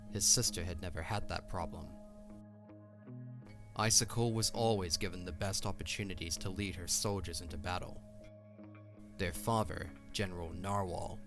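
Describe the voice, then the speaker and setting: A man narrating a fantasy audio drama as a scripted storyteller. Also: sits low.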